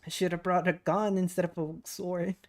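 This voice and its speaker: A woman streaming a game and commenting casually. Her voice is on the low side for a woman, with offhand joking remarks. Faint game music runs underneath. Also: flat delivery, moderate pace. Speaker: VTuber streamer.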